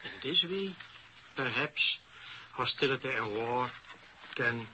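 A man with a Dutch accent giving formal academic panel remarks in careful, halting phrases. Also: mid-range voice, expressive, bright, forceful tone. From Netherlands. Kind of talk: formal prepared symposium remarks. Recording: noisy vintage radio recording.

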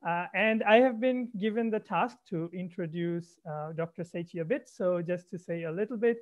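A man hosting an online science event, introducing a guest speaker in a warm, enthusiastic but slightly halting way, on a headset from home. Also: high-pitched. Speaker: event host. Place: home room. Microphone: headset on a video call. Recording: dull lo-fi call audio.

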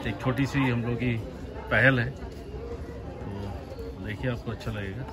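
A man's voice: medium pitch, slow, a loud crowd. A man with a middle-of-the-range voice hosts a casual vlog. He speaks as the leader of the group, narrating and asking questions out in the open air. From India. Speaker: vlogger and cycling group leader. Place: outdoors in a village and on rural roads.

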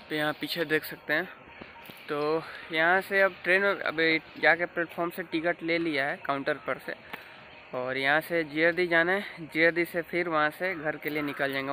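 A man's voice: on the high side, sharp tone, a little hiss or hum, rough recording. A young man chatting casually to viewers in a travel vlog, filming himself with a phone at arm's length on station platforms. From Bihar, India. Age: young adult. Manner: chatty, addresses viewers directly. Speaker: travel vlogger. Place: train stations and platforms at night, outdoors. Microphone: phone held at arm's length.

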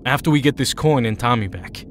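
A man plays a teenage hero and team leader, moody and wounded. His mid-pitched voice is curt and hesitant in audio drama style, with faint ambience behind it. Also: brisk, a little hiss or hum, close to an everyday voice. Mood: troubled, weary.

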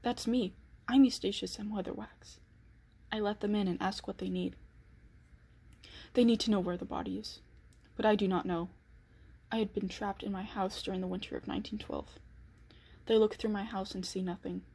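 A woman playing an anxious, secretive killer in a dramatic first-person period monologue, with a husky voice. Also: mid-range voice, fairly even intonation, normal speed, long pauses, mellow. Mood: guarded. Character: anxious, secretive 1912 killer snowed in at home.

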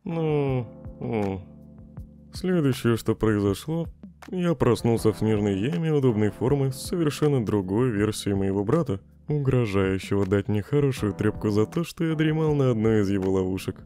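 A man plays a laid-back skeleton joker in a comic dub, with a mellow, mid-pitched voice. He sounds unhurried, wry and teasing over soft guitar music. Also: expressive, close to an everyday voice. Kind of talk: fan comic dub acting.

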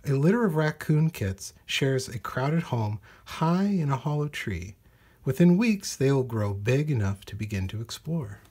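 A man with a husky voice reading a children's nature storybook aloud in measured, deliberate phrases. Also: mid-range voice, animated, a little mellow. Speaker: storybook reader. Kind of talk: read-aloud children's nonfiction storybook narration.

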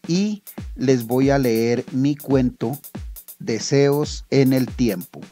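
A man's voice: medium pitch, lively intonation, extremely slow, dark tone, faint music behind. A man with a Colombian accent, a writer reading his own literary short story aloud.

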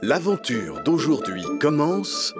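A narrator introducing a children's cartoon in a deliberate storybook delivery. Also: medium pitch, wildly swooping pitch. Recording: loud music behind the voice.

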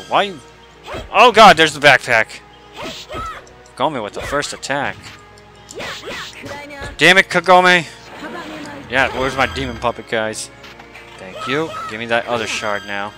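A man's voice: medium pitch, pushed and bright. A man doing casual gameplay commentary as a streamer, joking and swearing in slangy banter. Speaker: gaming streamer. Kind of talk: casual game playthrough commentary.

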